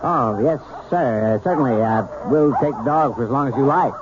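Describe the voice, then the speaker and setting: A man's voice: medium pitch, moderate pace, lightly acted. A man playing a polite, obliging, slightly puzzled kennel keeper in 1970s radio drama style. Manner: deferential, hesitant. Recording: muffled, noisy old radio, dog sounds.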